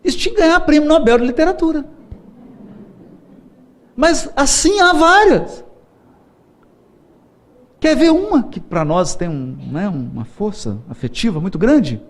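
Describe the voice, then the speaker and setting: A man's voice: very high voice, very animated, a little slow, long pauses. A middle-aged man with a Brazilian Portuguese accent giving a seminar lecture, telling stories playfully, laughing often and acting out the voices he quotes. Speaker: spiritist lecturer.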